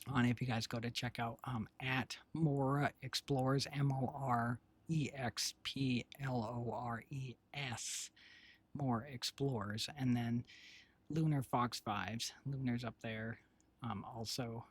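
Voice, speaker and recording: A man with a raspy, husky voice, hoarse today, chatting casually on a live stream. He rambles along with lots of fillers and restarts, at a mid pitch. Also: fairly even intonation, slow. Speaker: streamer and developer.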